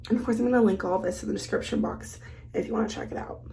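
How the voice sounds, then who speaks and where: A woman's voice: medium pitch, rapid-fire, vocal fry, warm tone, a little hiss or hum. A woman vlogger who is sick and losing her voice, chatting casually while she does her makeup. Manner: chatty, thinks aloud while applying makeup. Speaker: beauty vlogger. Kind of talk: casual get-ready-with-me makeup chat.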